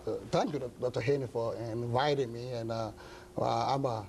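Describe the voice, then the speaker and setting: A pastor from Ghana, older, answering interview questions slowly with many pauses and fillers. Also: male voice, medium pitch, steady tone, a little mellow, slight background noise. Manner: halting, many uh fillers, measured. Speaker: pastor and guest. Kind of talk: interview talk show.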